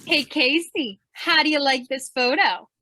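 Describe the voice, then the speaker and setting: A woman playing an eager, brash, excitable young news photographer in an old-time radio drama. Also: high voice, unhurried, slight background noise. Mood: eager, proud. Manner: excitable, slangy.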